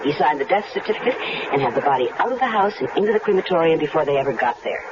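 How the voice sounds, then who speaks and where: A coy scheming wife. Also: female voice, low voice, very animated. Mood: gloating. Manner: coy, flirtatious, quick to feign hysterics. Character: scheming wife faking grief, adult woman. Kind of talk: 1970s radio drama acting. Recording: muffled old radio broadcast with hiss.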